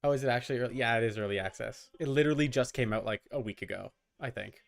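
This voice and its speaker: A man's voice: medium pitch, fast talker, vocal fry. A man giving casual live gaming commentary as a streamer, informal, with muttered asides and mild swearing. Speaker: video game streamer.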